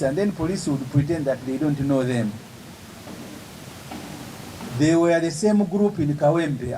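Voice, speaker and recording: A man, an opposition politician giving an emphatic, measured press statement with pauses between phrases. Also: a little high, dark tone, a little hiss or hum, low-quality recording.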